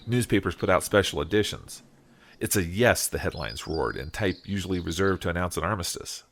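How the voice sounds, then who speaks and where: An earnest, self-doubting husband played by a male actor in an audio drama. His voice is very low, weary and hesitant, with some noise behind it. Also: creaky. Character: earnest, self-doubting husband burdened with a world-changing decision. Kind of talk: audio drama acting with first-person narration. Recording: noticeable background noise.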